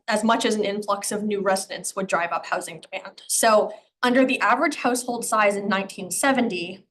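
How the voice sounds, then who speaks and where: A woman gives a public presentation on town housing figures. Her voice is slightly hoarse from a cold, and she explains things plainly, filling pauses with ums and uhs. Her pitch sits in the middle of the range, with a faint rumble behind her. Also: fairly bright tone, low-quality recording. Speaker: town planner presenting a comprehensive plan.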